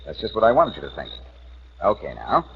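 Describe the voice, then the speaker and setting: An actor playing a confident, quick-witted, wry amateur detective in a 1940s radio crime drama. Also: male voice, low-pitched, huge pitch swings, gravelly, heavily acted. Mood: confident, commanding. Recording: muffled 1940s radio broadcast, faint noise.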